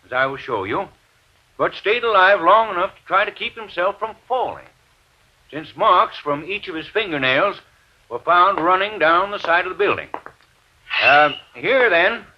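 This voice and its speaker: An actor playing a folksy, stammering small-town sheriff's officer in an old radio mystery, halting and peppering his talk with filler phrases. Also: high voice, expressive. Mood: formal, demonstrative. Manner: hesitant, stammering, repeating "you know". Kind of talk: 1940s-50s radio drama acting. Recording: dull vintage radio broadcast recording.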